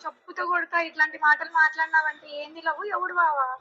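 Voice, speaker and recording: A woman's voice: high voice, level tone, fast, bright, forceful tone. A young woman, scolding bluntly and confrontationally on a muffled phone call. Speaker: older sister on the phone.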